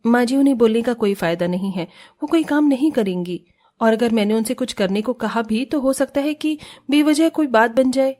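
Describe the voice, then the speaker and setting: A woman's voice: fairly high pitch, normal speed, dark tone, natural voice. A woman voicing a meek, hardworking and patient eldest daughter-in-law in a cartoon family drama, deferential in manner. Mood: resigned, patient.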